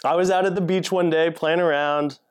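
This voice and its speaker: A man's voice: fairly high pitch, steady tone, brisk. A man hosting a podcast, talking eagerly and casually, laughing often and filling with "like". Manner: eager, chatty, laughs a lot, many "like"s. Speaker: podcast host.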